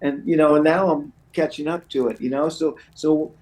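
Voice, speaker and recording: Middle aged guy, voice a bit high, chatty recovery counselor telling stories, lots of you knows. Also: fairly even intonation, normal speed, a little mellow, low-quality recording. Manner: rambling, repeats "you know". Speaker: guest, addiction recovery counselor. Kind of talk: conversational interview, sharing personal anecdotes.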